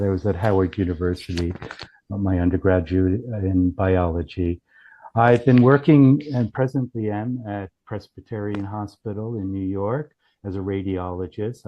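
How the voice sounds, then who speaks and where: A man giving a casual software demonstration in a halting way, thinking aloud as he goes. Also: sits low, dull audio. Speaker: company founder demonstrating software. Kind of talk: casual product demo.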